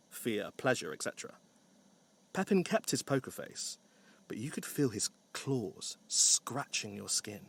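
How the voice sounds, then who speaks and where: A man narrating a scripted story with wry, dry humour. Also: medium pitch.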